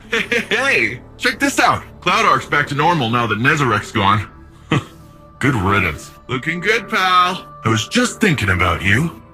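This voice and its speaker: Bright-voiced, cocky young defender. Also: male voice, a little high, heavily acted. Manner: breezy, slangy, eager, friendly. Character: cheerful, cocky, big young male city defender. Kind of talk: video game voice acting.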